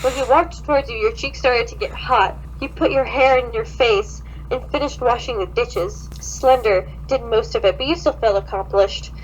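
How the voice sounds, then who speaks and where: A woman's voice: a little high, moderate pace, hardly any pauses, a little hiss or hum, rough recording. A woman hosting a podcast, giving a theatrical, mocking comedic reading of fan fiction. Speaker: podcast host.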